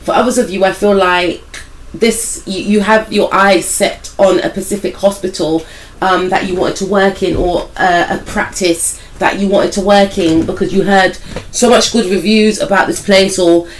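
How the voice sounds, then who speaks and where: A woman with a croaky voice, still recovering from a cold, giving a casual, rambling tarot reading and speaking straight to her viewers, with many hedges about what she feels. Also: medium pitch, steady tone, normal speed, slight background noise, low-quality recording. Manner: speaks directly to viewers as "some of you". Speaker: tarot reader and spiritual life coach.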